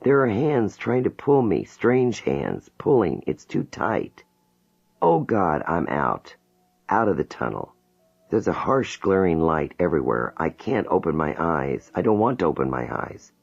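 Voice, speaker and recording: A man's voice: deep voice, big pitch swings, a little slow, vocal fry, husky, full character voice. A man reading an audiobook voices a frightened woman in a hypnotic trance, pleading and scared. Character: terrified young woman reliving her birth. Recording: dull lo-fi recording.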